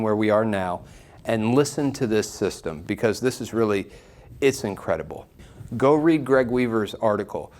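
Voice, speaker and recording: A man's voice: medium pitch, a little mellow. A man speaking as an enthusiastic shop presenter, giving an informal product review straight to viewers. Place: shop listening room, indoors.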